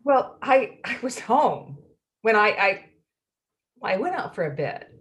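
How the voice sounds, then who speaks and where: A woman acts out a fretful wife in a stage play. Her pitch moves around a great deal as she stammers and hesitates, evasive and flustered, like someone hiding a secret. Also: big pitch swings, takes it slowly, fairly bright tone. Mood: nervous, evasive. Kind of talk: play reading.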